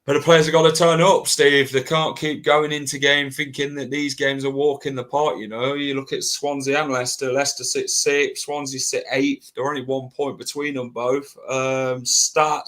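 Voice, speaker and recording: A man's voice: medium pitch, level tone, moderate pace, few pauses, fairly bright tone. Chatty man hosting. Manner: blunt, chatty, mild swearing. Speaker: podcast host. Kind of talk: casual football podcast chat.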